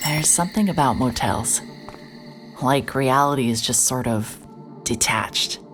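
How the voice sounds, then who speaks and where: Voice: medium pitch, natural voice. An actor as a weary, wry private investigator. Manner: dry, wry inner monologue. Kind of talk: noir-style inner narration.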